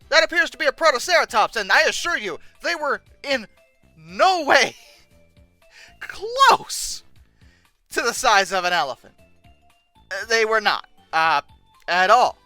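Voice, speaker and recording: An incredulous host delivers sarcastic reaction commentary in a bright, sharp voice. The pitch moves around a great deal, with emphatic stresses. The sound is close and dry, with faint music underneath. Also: sing-song, measured pace, pauses often, dry recording. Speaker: video host. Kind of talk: reacting commentary, reading old text aloud and mocking it.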